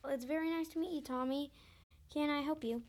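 A woman's voice: high voice, fairly quick, a little mellow. A female performer playing a polite, pleading young mother in an amateur comic radio play. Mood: polite, hesitant. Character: polite young mother, weary and increasingly exasperated.

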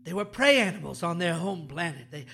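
An actor playing a frightened, excitable captive doctor in an audio drama, stammering, gasping and dramatic. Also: mid-range voice, fairly quick, vocal fry. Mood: panicked.

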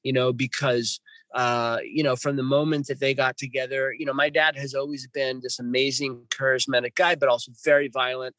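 A man's voice: medium pitch, level tone, a little fast, a bit bright, no echo. Rambling man in his fifties, dry. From Los Angeles, California. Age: 50s. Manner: rambling, restarts, many fillers. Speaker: guest. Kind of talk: podcast interview storytelling. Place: home with birds nearby.

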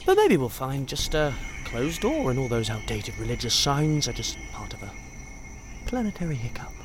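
A man's voice: medium pitch, huge pitch swings, normal speed, a little hiss or hum. Man playing a flippant, wildly swooping rogue. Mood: wry. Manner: flippant, sardonic, teasing. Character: flippant, charming, theatrical male hellish wanderer named Serenity. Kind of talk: audio drama acting. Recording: outdoor insect and bird ambience behind.

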